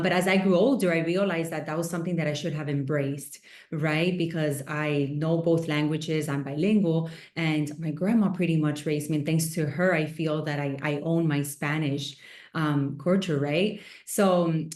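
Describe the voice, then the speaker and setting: A woman's voice: low voice, darkish tone, roomy sound. A woman from Miami co-hosting a podcast, chatting casually and telling stories with lots of fillers. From Miami, USA. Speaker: podcast co-host. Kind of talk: casual chat.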